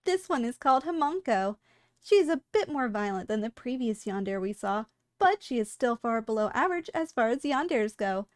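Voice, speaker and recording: A woman's voice: fairly high pitch, animated, moderate pace, no room sound, close to an everyday voice. A woman playing a polite, businesslike shop clerk in a scripted ASMR roleplay, with formal sales patter and dry understatement. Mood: matter-of-fact. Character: polite, businesslike female shop clerk selling dangerous yandere girls.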